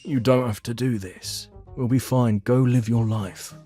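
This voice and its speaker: A man's voice: mid-range voice, fairly even intonation, measured pace, mellow, soft background music, close to an everyday voice. A man voicing a devoted, protective elderly grandparent in a manga story, earnest and firm. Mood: worried, kindly.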